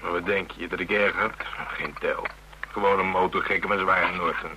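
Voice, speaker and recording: A low-voiced, gruff police detective. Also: male voice, fairly even intonation, normal speed, bright, forceful tone. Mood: sceptical. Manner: blunt, grumbling, colloquial and swearing. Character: gruff, rattled Dutch police detective nicknamed Draak. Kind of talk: Dutch radio crime drama acting. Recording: noisy radio drama recording.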